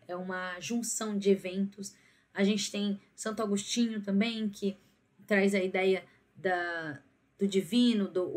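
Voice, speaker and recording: A young Brazilian woman giving an academic presentation in a halting, reflective way, often checking in with small tag questions. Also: medium pitch. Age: young adult. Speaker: student documentary maker presenting a project. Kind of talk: academic presentation, semi-spontaneous explanation.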